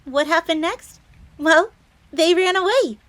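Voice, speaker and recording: A woman's voice: very high voice, a little slow, fairly bright tone. A woman playing a bubbly, devoted fox maid with a fierce streak, eagerly deferential and speaking of herself in the third person in an audio roleplay. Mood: cheerful, doting. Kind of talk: dramatic audio roleplay storytelling.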